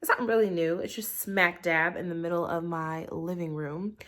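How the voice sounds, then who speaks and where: A woman's voice: lowish voice, fairly even intonation, normal speed, a bit bright. A congested young woman reviewing a tea in her living room, sounding a little nasal and talking casually with lots of ums. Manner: chatty, rambling, many ums. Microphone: camera in portrait mode.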